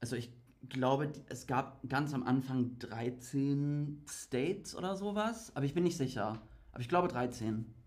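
Man, 30s, from England, slow and easygoing, giggly quiz sidekick. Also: medium pitch, a little mellow. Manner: unhurried, giggly, hesitant. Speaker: streamer and quiz co-host. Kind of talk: casual chat.

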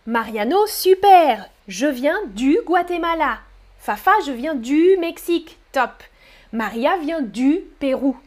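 A woman's voice: sits high, animated, darkish tone. A woman teaching a live French lesson, reading out viewers' chat messages and praising their answers with repeated encouragement. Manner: slow, careful articulation for learners.

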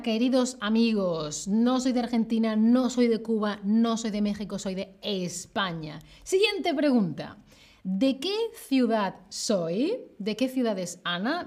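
A woman, teaching on a live stream and answering viewers' chat questions in a lively, expressive way. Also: on the high side. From Spain, Seville. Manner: lively and expressive, slows down to spell out words clearly. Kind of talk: live-stream Spanish lesson, chatting with viewers.

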